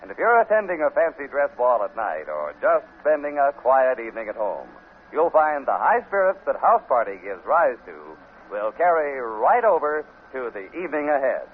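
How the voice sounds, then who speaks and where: A man's voice: medium pitch, sing-song, normal speed, a little hiss or hum. A man working as a radio announcer, reading a scripted 1950s commercial. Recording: tinny vintage radio recording.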